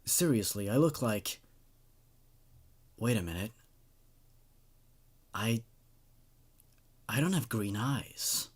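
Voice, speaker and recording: A man's voice: fairly low pitch, expressive, takes it slowly, long pauses, husky, pitched below the performer's own voice, full character voice. A man narrating a first-person horror story as a sleepless, paranoid person confessing a creeping dread. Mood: haunted, uneasy.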